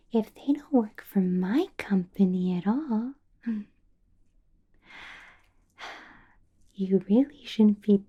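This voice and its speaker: A woman playing an ancient, possessive vampire boss in an ASMR roleplay, whispering softly with slow, deliberate, teasing menace. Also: mid-range voice, full character voice. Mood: probing, coolly stern. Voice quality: soft breathy whisper. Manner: slow, deliberate, teasing questions with lingering pauses. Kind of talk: ASMR roleplay, personal attention.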